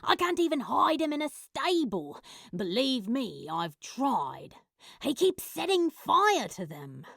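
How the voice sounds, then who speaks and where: A woman's voice: high-pitched, sing-song, slow pace, vocal fry, a bit bright, husky, dry recording, studio quality, exaggerated voice. A woman voicing a sardonic, exasperated male demon in an audio story, grumbling as he talks. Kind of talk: audio fanfiction character acting.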